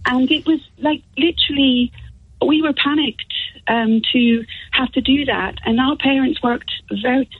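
A woman with an Irish accent calling a radio show, a little hoarse and talking haltingly with many restarts. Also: high voice, fairly even intonation, no echo. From Ireland. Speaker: caller. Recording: tinny phone line.

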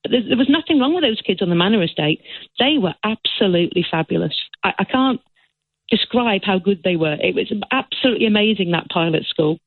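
A woman's voice: medium pitch, lively intonation, a little fast, dry recording. Emphatic woman on a tinny phone line. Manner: emphatic, passionate. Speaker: caller. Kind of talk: phone-in call.

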